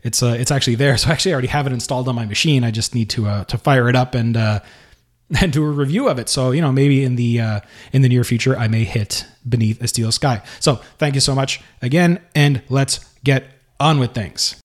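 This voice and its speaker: A man hosting a podcast, talking loosely and unscripted, with frequent pauses and self-corrections. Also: medium pitch. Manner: unscripted, halting, many fillers and restarts. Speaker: podcast host. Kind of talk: casual solo podcast talk.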